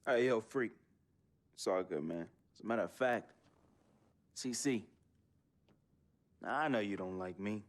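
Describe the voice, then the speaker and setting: Game drama: actor as laid-back street-smart buddy, slangy, unhurried, lowish voice. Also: male voice, close to an everyday voice. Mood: conciliatory. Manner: slangy, casual. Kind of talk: dramatic video game cutscene acting.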